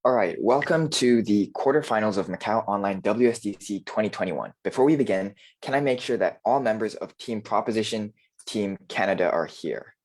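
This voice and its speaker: Low voice guy moderating a zoom debate, reading out rules, kinda formal, lots of um and uh. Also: fairly quick, darkish tone. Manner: orderly, with frequent um and uh fillers. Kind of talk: formal procedural announcements. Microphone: video call.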